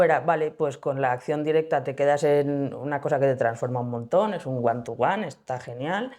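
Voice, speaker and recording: A woman's voice: a bass voice, warm tone. A woman with an accent from Spain explaining her organization's approach as an interview guest, in a colloquial, conversational way. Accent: Spanish from Spain.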